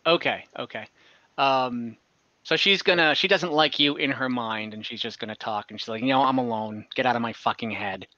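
A man's voice: mid-range voice, moderate pace, bright, forceful tone, dry recording. A young man narrating as game master on a video call, talking loosely with many fillers. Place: home room. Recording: slightly dull video call audio.

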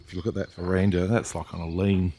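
A man's voice: deep voice, fast. A man with an Australian accent hosting a vlog, chatting casually to viewers while he explores the countryside outdoors, with wind on the camera mic. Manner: relaxed, chatty, talks to viewers as he goes. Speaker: vlog host exploring abandoned places. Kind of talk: casual walk-through narration. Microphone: camera mic, wind noise.

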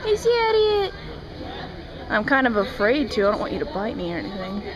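A woman's voice: mid-range voice, big pitch swings, a little fast, poor audio. A young woman chatting casually and cooing hesitantly to horses, recorded on a handheld camera. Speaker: amateur videographer. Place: fairground horse barn. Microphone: handheld camera held by speaker. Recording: busy crowd noise, handheld camcorder audio. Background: crowd chatter in horse barn.

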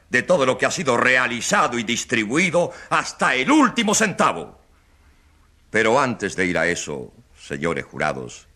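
Pompous populist politician, forceful and swooping. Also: male voice, lowish voice, heavily acted. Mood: indignant, theatrical. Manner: grandiose oratory with dramatic repetition. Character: pompous, evasive populist politician defending himself in court. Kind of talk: radio drama courtroom acting.

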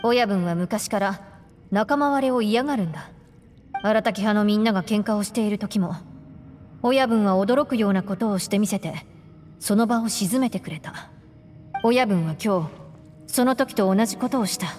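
A woman's voice: mid-range voice, normal speed, a little mellow, lightly acted. A woman playing a level-headed young gang deputy in an anime-style game, explaining things in a matter-of-fact, blunt way. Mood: reflective. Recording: reverberant, with music behind.

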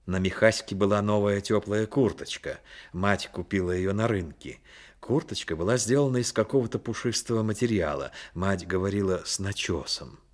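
A man narrating a radio play as a dramatic storyteller, measured and expressive. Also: on the low side.

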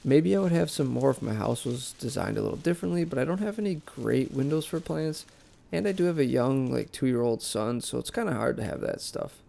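Young male vlog host with a head cold, nasal and gravelly, relaxed rambling delivery. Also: mid-range voice, brisk. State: congested, sick and nasal. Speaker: plant channel host. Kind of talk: casual voice-over vlog narration. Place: basement plant room.

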